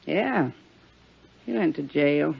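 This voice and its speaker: A woman's voice: low voice, animated, takes it slowly, gravelly, breathy edge, exaggerated voice. An actress playing a wary, terse American landlady in a 1950s radio drama, speaking in guarded, folksy short answers. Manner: guarded, laconic, folksy grammar. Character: wary, terse landlady of a run-down rooming house. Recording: muffled 1950s radio recording.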